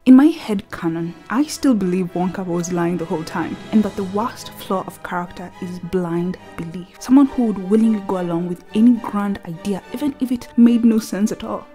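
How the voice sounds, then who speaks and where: A woman reads a scripted essay in a mellow, warm voice, earnest and reflective, sometimes sinking to a breathy hush. Faint music plays underneath her narration. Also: medium pitch, moderate pace, few pauses. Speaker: video essayist.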